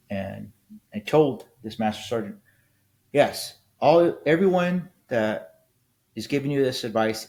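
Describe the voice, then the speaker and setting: A man's voice: on the low side, gravelly, darkish tone, slight background noise. A man in his fifties, a military veteran hosting a casual solo podcast, talking bluntly and rambling, often ending points with "right?". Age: 50s. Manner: blunt, rambling, frequent restarts and "right?" tags.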